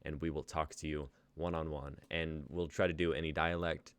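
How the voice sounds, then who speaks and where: A man's voice: a bass voice, flat delivery, moderate pace, smooth, no echo. A young man hosting a live reading stream, wrapping up in casual chat, laughing and trailing off with fillers. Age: young adult.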